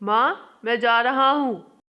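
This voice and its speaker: A short-tempered young husband from a cartoon family story, played by a woman. The voice is fairly high and slow, with a curt, irritable delivery. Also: sharp tone. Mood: matter-of-fact. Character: short-tempered young married man, exasperated office-going son. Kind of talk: Hindi cartoon story voice acting.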